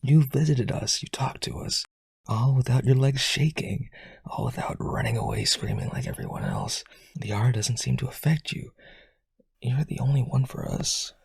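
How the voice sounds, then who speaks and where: A man playing a lonely vampire aristocrat in a romantic audio roleplay, pleading earnestly and haltingly in a breathy, husky voice. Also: big pitch swings, darkish tone, no echo, heavily acted. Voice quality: husky, breathy low voice, often near whisper.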